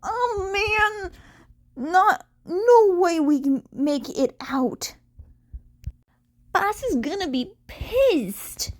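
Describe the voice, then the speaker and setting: Brief: female reader plays jumpy low-level crook in an audio story, voice pushed high, agitated. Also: expressive, extremely slow, dry recording, full character voice. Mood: alarmed. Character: panicky small-time criminals, men and women.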